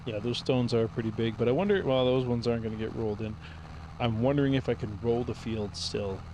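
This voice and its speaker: A man streaming a farming game, thinking aloud in a relaxed, rambling way as he plays. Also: mid-range voice, a little fast, a little hiss or hum, poor audio. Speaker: gaming streamer. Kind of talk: casual gameplay commentary.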